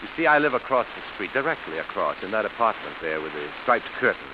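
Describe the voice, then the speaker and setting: An actor playing an embittered, falsely genial schemer with quiet menace, savoring his words in 1940s radio suspense style. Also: lowish voice, big pitch swings, fast. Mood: slyly confiding. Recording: muffled, hissy vintage radio recording.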